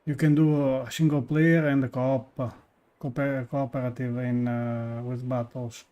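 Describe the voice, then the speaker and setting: Slow-talking, mellow male game streamer. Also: medium pitch, little pitch movement, dull audio. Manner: hesitant, searching for words in non-native English. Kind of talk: casual live stream commentary. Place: indoors, live stream over game music.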